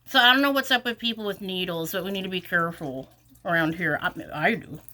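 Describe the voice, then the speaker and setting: A woman's voice: on the low side, fast, bright, forceful tone. A sniffly woman rambling through an exasperated casual vlog rant, full of "like" fillers. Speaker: vlogger.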